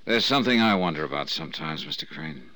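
An actor playing a tough, wry insurance investigator with a clipped, sardonic manner in a 1950s radio drama. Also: male voice, extremely low pitch, lively intonation, fast talker, sharp tone, dry recording, exaggerated voice. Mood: probing, stern. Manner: clipped, hard-boiled, sardonic. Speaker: lead actor and narrator of a radio detective serial.

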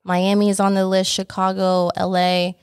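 A woman's voice: mid-range voice, completely flat delivery, moderate pace, a little mellow, smooth, no echo, studio quality. A young woman from northern Vietnam, a salon owner talking casually and bluntly about her business plans, swearing now and then. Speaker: lash salon owner, guest. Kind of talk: casual podcast chat.

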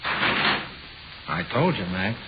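An actor playing a casual, quietly sinister American ghost of a cellmate, offhand and folksy, in 1940s radio horror style. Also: male voice, low voice, sing-song, extremely slow, full character voice. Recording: muffled, noisy 1940s radio recording.

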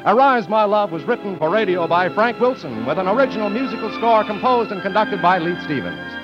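A man's voice: high-pitched, very animated, a little fast. A man announcing from a script in a polished, formal 1940s radio style. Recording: muffled 1940s radio broadcast, faint music.

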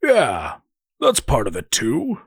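A man's voice: mid-range voice, wildly swooping pitch, slow pace, creaky, husky, dry recording, clean studio sound, pitched above the performer's own voice, exaggerated voice. A man voicing a brash, greedy young halfkin brother who talks in a blunt, scolding way. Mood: offhand. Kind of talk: audiobook character voice.

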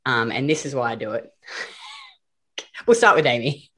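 A woman's voice: extremely low pitch, sing-song, moderate pace, a bit bright. A woman with an Australian accent hosting a casual group podcast chat, chatty and swearing casually. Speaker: podcast host.

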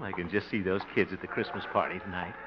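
An actor playing a blustery, excitable but soft-hearted man in a 1950s radio sitcom, hammy and easily flustered. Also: deep voice, fast, background noise, close to an everyday voice. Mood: delighted. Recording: dull lo-fi 1950s radio recording.

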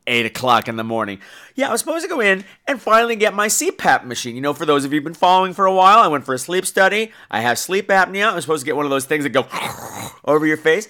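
Animated, bright-voiced man ranting hoarsely. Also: fairly high pitch, quick pace. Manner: profane, exasperated, animated ranting. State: losing his voice with a cold coming on. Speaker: horror podcast host. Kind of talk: casual solo podcast rant.

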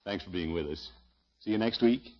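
A man's voice: on the low side, normal speed, close to an everyday voice. A man playing a pompous, blustery middle-aged American small-towner in a radio comedy. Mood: sincere. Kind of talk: 1950s radio comedy acting. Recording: muffled vintage 1950s radio recording.

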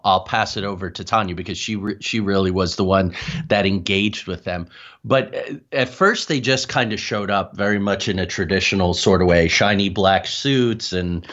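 A man's voice: fairly low pitch, a bit bright. A man speaking as an interview guest, telling his experiences in a conversational, explanatory way with asides. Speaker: guest, paranormal researcher and author. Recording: dull, lo-fi call audio.

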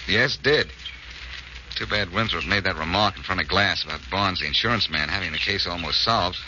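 An actor playing a confident, wisecracking amateur detective in 1940s radio drama style, wry and self-assured. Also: male voice, low-pitched, fairly quick, bright, forceful tone. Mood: explaining. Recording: muffled, noisy old radio recording.

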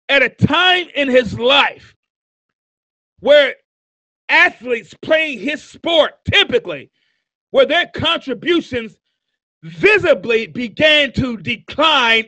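A man in his fifties giving an emphatic motivational sermon, repeating phrases and building to shouts. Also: very high voice, no echo, low-quality recording. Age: 50s. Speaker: motivational preacher. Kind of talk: sermon-style motivational speaking.

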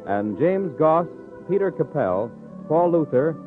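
Old time radio announcer guy, highish lively voice reading credits, music behind, tinny. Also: measured pace.

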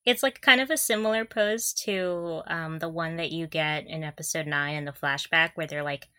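Bright-voiced, giggly young woman chatting. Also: deep voice, normal speed, no room sound, studio quality. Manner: giggly, gushing fan talk. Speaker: podcast co-host. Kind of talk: casual fan podcast chat.

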